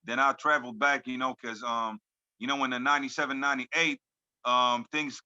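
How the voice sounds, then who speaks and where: Bright-toned man on a video call. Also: mid-range voice, steady tone, brisk, no echo. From born in France, grew up in Lebanon. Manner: laid-back slangy talk, many "you know what I'm saying" fillers. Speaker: guest hip hop artist. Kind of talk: casual video call interview. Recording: dull lo-fi video call audio.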